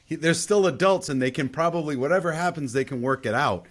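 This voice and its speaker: A man's voice: fairly high pitch, fast talker. A man hosting a casual film discussion, giving emphatic opinions and repeating his points. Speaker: film podcast host.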